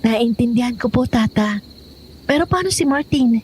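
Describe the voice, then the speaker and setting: A woman's voice: a little high, animated, moderate pace, darkish tone, slight background noise, natural voice. A woman playing a polite, playful young expectant granddaughter in an audio horror drama. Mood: worried, hopeful. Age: young adult.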